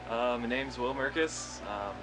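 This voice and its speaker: A man's voice: mid-range voice, a little slow. A man in his late teens, a student giving informal interview answers. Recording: music under the voice.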